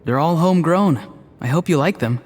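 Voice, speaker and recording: A man voicing a gentle, earnest apprentice in a video game, speaking sincerely and humbly. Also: on the high side, fairly quick, faint music behind, close to an everyday voice. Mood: warm, hopeful. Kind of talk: English video game voice acting.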